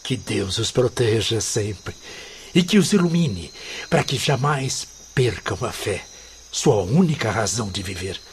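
An actor playing a compassionate, grateful spirit in a radio drama, speaking with earnest, formal reverence. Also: male voice, lowish voice, expressive, measured pace. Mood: grateful, moved. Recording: faint noise with crickets behind.

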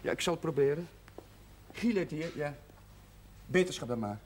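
Actor as a high-voiced, flustered village chaplain. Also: male voice, animated, normal speed, lightly acted. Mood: alarmed, exasperated. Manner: agitated, hesitant, repeating himself. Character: flustered, fussy, easily exasperated village chaplain. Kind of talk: television period drama acting. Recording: noisy old television drama soundtrack.

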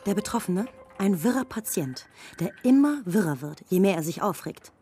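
An actress playing a cold, calculating campaign strategist in a satirical radio drama, husky, methodical and scornful. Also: female voice, medium pitch, lively intonation, moderate pace, dark tone, a little hiss or hum, natural voice. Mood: scornful, building.

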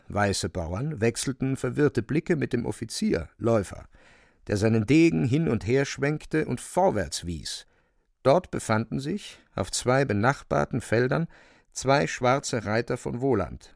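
A man narrating a literary radio play with a creaky voice. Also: medium pitch, no echo, clean studio sound.

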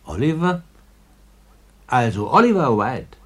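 A man playing a kindly but cautious older gentleman in a 1950s German radio play, measured and courteous. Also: mid-range voice, very animated, heavily acted.

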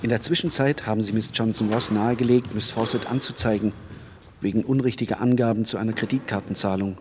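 An actor playing a shrewd, composed defence lawyer in a German radio crime drama, speaking formally and precisely. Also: male voice, lowish voice, fast talker, noisy background, lightly acted. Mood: shrewd, confident. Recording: clicks and bangs of squash hall behind.

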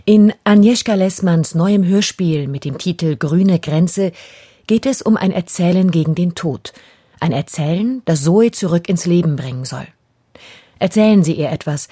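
A woman's voice: on the low side, moderate pace, warm tone, husky, no room sound, studio quality. A woman announcer reading a scripted introduction to a radio play in a composed, formal way. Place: dry broadcast studio.

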